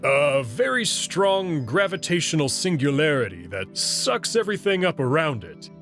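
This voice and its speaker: A man's voice: fairly high pitch, very animated, normal speed, a bit bright, faint music behind. A man reading a guarded wolf ship captain. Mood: measured.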